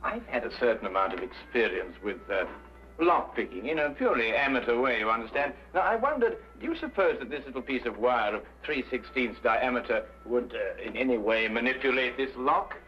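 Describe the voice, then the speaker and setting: A man with an English accent playing a shrewd, urbane gentleman detective in a vintage drama, composed and courteous, on an old recording. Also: mid-range voice, lively intonation, a little fast, lightly acted. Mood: courteous, curious. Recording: dull, hissy old broadcast recording.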